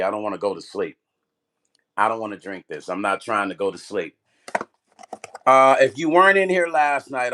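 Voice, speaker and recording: A man with a strained, partly lost voice, chatting loosely on a livestream and greeting viewers by name, clearing his throat often. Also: on the low side, bright, forceful tone. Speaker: music vlogger and producer. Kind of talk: casual livestream chat.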